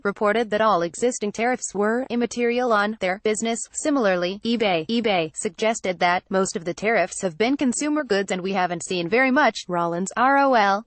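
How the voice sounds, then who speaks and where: A woman narrating a scripted financial news article in a measured, formal read-aloud delivery. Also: mid-range voice, no room sound.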